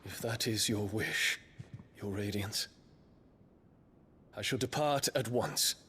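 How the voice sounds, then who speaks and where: An actor playing a dutiful, solemn subordinate to a ruler in a fantasy game, with a formal, restrained manner. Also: male voice, fairly low pitch, slow pace, bright, forceful tone, slight background noise. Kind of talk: theatrical fantasy game dialogue.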